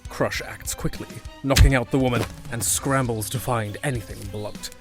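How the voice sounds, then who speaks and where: Male narrator, fantasy audio drama. Mid-range pitch, descriptive storytelling, faint music bed. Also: moderate pace.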